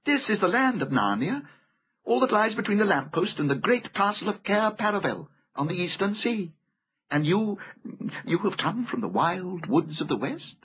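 A man's voice: high voice, very animated, normal speed, highs cut off, voice pushed up. A man voicing a timid, flustered and courteous male fawn in a storybook, with fussy, repetitive, over-polite speech. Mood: courteous, curious.

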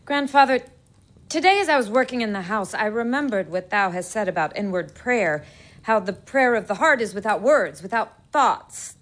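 A woman's voice: mid-range voice, animated, normal speed, lightly acted. An actress playing a dreamy, earnest, hopeful young Quaker woman in an audio drama, speaking with sincere wonder. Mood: reverent. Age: young adult. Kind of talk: audio drama stage acting.

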